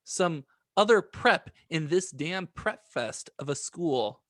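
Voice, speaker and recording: A man's voice: a little high, animated, slow pace, a bit bright, dry recording, studio quality. A man co-hosting a podcast, chatting casually and reading aloud. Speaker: podcast host.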